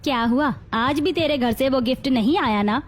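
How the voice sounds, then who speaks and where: A woman's voice: high-pitched, normal speed, close to an everyday voice. A woman playing a cruel, scheming middle-aged mother-in-law who bosses and threatens in a dramatized story. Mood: menacing. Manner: menacing, commanding.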